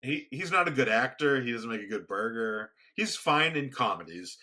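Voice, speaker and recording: A soft-spoken man co-hosting a casual podcast, rambling with frequent restarts. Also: expressive, normal speed. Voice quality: booming when raised. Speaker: podcast co-host. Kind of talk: casual conversation. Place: home studio, remote recording.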